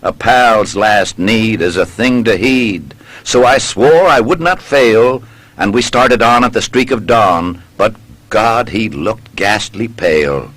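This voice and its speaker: A man reciting a narrative poem in a deliberate, dramatic storytelling way, drawing out the ends of his lines. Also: lowish voice, fairly bright tone, dry recording, rough recording. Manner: deliberate, storytelling delivery with drawn-out line endings. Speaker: poem reader.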